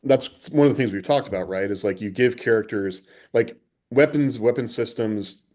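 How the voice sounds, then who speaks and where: A man's voice: mid-range voice, normal speed, a little mellow. A man co-hosting a podcast, chatting casually as he puts questions and thoughts to a guest. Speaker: podcast co-host. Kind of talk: casual interview chat.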